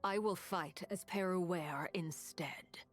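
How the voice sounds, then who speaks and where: An actress playing a cool, controlled, resolute female fighter in a video game, with formal, deliberate speech. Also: fairly low pitch. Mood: grim, resolute.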